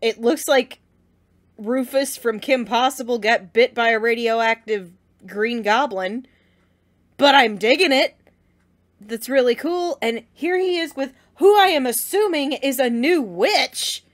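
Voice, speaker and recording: A woman hosting a casual reaction vlog, gushing and slangy in a dramatic, excited fan's way. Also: on the high side, measured pace. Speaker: reaction video host.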